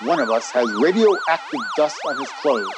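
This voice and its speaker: An actor playing a brisk, guarded, courteous army major in a radio drama, with a formal, clipped, official way of speaking. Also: male voice, on the high side, huge pitch swings, over loud music. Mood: firm, brisk.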